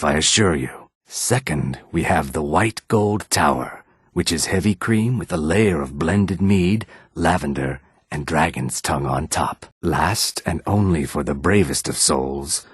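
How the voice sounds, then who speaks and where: A man playing a courteous, weary and dignified reptilian merchant in a fantasy video game, speaking formally in a throaty rasp. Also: a bass voice, no echo, studio quality, full character voice. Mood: proud, explaining. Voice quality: raspy, husky, throaty. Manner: polite, formal, measured. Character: courteous male Argonian lizard-folk merchant, weary and dignified.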